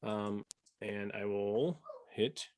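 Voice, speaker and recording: A man's voice: fairly low pitch, extremely slow, darkish tone, clean tone, no room sound. A man co-hosting a podcast, talking casually in a fan discussion. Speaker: podcast host.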